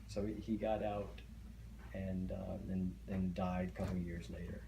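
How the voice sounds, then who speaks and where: Low flat-voiced man, echoey room. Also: a little slow, gravelly. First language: Hungarian. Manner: hesitant, many fillers and restarts. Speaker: interviewee. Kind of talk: oral history interview. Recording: echoey room, faint noise.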